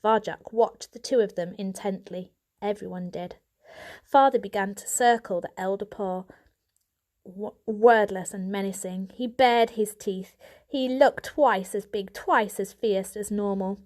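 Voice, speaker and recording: A woman reading a storybook aloud as an audiobook narrator, in an expressive scripted style. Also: medium pitch, a little slow.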